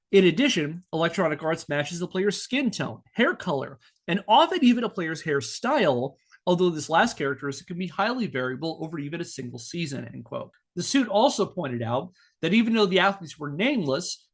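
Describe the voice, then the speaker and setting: Man, American accent, high voice, podcast host, narrates from a script, drops in casual asides. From northeastern United States.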